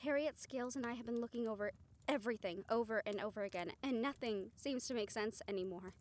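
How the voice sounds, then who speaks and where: A driven woman who plans for a supernatural team, acted by a woman in an audio roleplay. Her voice sits on the high side, and she talks in a conversational, affectionate way. She is caring and given to teasing. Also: quick pace, close to an everyday voice. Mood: weary, thoughtful. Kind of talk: scripted audio roleplay acting.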